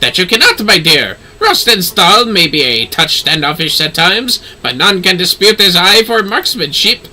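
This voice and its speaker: A man's voice: high-pitched, big pitch swings, normal speed, hardly any pauses, sharp tone, heavily acted. A man voicing an eloquent, effusive nobleman from a fantasy game, with florid, theatrical phrasing. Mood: gracious, effusive. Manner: florid, theatrical, formal speech. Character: flamboyant, eloquent nobleman, effusive patron of a workshop. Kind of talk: fantasy game dialogue read aloud.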